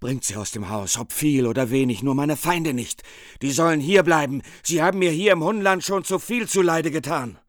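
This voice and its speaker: A man's voice: a little high, moderate pace, no room sound, clean studio sound, close to an everyday voice. An actor, a man, playing a stern king in a radio play, commanding and formal. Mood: bitter anger. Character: stern medieval king granting safe passage.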